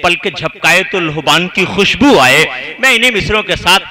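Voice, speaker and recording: Man compering a poetry night, bright edgy tone, recites couplets, flowery polite wording. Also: high voice, animated, normal speed. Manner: ornate, courteous Urdu phrasing. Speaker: mushaira host. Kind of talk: poetic stage announcing with recited couplets.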